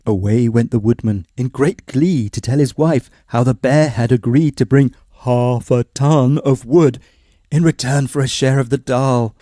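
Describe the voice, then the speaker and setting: A man with a mellow, medium-pitched voice tells a bedtime story. He reads expressively, like a practised storyteller, in a close, dry recording. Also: unhurried, dry recording. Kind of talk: scripted bedtime story narration.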